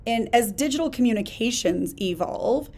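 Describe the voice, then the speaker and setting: A woman hosting a solo podcast, talking in an energetic, emphatic, conversational way with a hoarse voice while she gets over a chest illness. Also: on the high side, moderate pace. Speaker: podcast host. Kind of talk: casual solo podcast talk.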